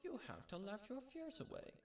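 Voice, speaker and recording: A narrator voicing a kindly old grandmother pony, warm and gently chuckling, in a storybook reading with music behind. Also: medium pitch, huge pitch swings, normal speed, reverberant, recorded from a distance, voice pushed up. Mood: soft, reassuring.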